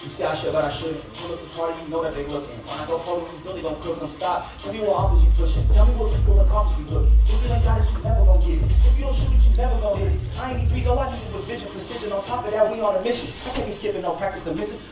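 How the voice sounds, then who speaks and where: A man's voice: high-pitched, steady tone, racing, few pauses, lo-fi sound. A man performing hip-hop on stage, rapping and calling out to the crowd as a hype man. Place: large indoor university stage venue. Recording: very echoey live stage, distant, music and crowd.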